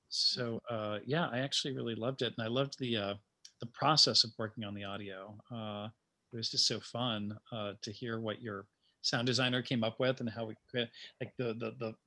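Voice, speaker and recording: A man speaks as a playwright in an informal panel chat. His voice is bright and forward at a middling pitch, and he thinks aloud haltingly, amused at himself, drifting into asides. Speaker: playwright discussing his work.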